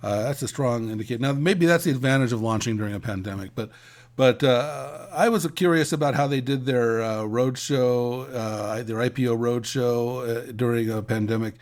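A man co-hosting an industry news podcast, giving conversational commentary in a halting way, often restarting his phrases. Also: mid-range voice.

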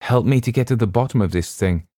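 A man voicing a shaken but determined gentleman passenger in an audiobook, speaking earnestly and formally. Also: medium pitch, quick pace, dry recording, voice pushed up, natural voice. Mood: urgent.

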